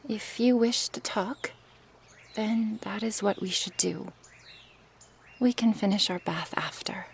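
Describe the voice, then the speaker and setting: Woman acting a female lamia, gentle and coaxing, breathy half-whisper, creaky edges, unhurried hesitant delivery, intimate ASMR roleplay. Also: medium pitch, slight background noise. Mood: apologetic, hesitant. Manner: slow, hesitant, soft-spoken with sighs and pauses. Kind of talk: ASMR roleplay, intimate one-sided dialogue.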